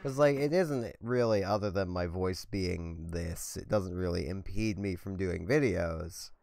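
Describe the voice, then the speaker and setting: A young man with a hoarse, scratchy voice from a sore throat, rambling casually while playing a game. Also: sits low, a little slow, a little mellow, no room sound. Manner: rambling, chatty. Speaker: let's play host. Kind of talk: casual gameplay commentary. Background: faint game music.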